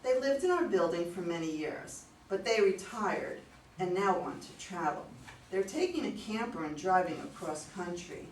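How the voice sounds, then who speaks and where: Woman as earnest client, low voice, echoey room. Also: expressive, normal speed, natural voice. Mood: chatty, earnest. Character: anxious female client, talkative neighbour. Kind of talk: staged play reading. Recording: distant mics, echoey room, faint noise.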